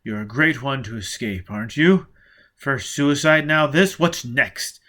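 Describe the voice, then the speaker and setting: A man reading an audiobook, voicing a hard, driven man who talks loudly and forcefully. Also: mid-range voice, a little slow, pitched above the performer's own voice. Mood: taunting. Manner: loud, forceful, commanding.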